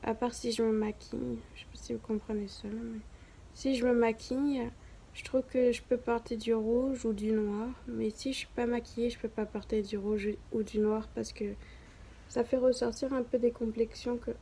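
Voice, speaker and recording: Young woman with a southern French accent for a casual home vlog, light and higher voice, rambling and self-mocking. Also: fairly even intonation, moderate pace, warm tone, breathy edge. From southern France. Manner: rambling, self-mocking, chatty with many fillers. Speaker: beginner vlogger. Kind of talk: casual chat to camera.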